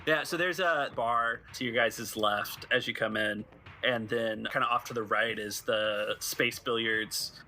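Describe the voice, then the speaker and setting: A man acts as game master for a tabletop roleplaying game. His mid-pitched voice is very bright and forward as he describes scenes conversationally. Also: measured pace.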